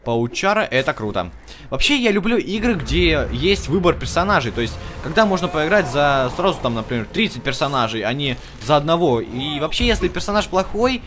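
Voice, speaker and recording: A man's voice: on the high side, sing-song, fairly quick, fairly bright tone. A man giving casual, chatty gameplay commentary, thinking aloud and talking to the characters on screen as he plays. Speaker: video game let's-play host. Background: video game music.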